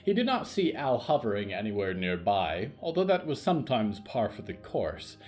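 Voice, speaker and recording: A man's voice: fairly low pitch, very animated, normal speed, darkish tone, soft background music. A man narrating a scripted, atmospheric story as its narrator.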